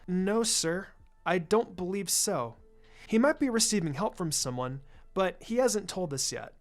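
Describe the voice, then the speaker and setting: A woman's voice: fairly low pitch, expressive, measured pace, faint music behind. A woman voicing a polite, earnest young ninja girl with a formal, careful manner in a story.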